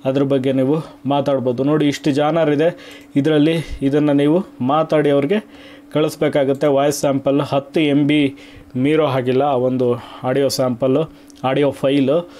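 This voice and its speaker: A man's voice: medium pitch, flat delivery, normal speed, dark tone. A man from Karnataka hosting an online video, explaining things to viewers step by step in a casual, conversational way.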